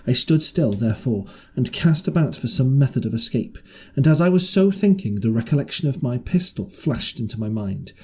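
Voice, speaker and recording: A man's voice: mid-range voice, expressive, moderate pace, mellow, breathy edge. A man reading an adventure novel aloud as scripted audiobook narration. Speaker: audiobook reader.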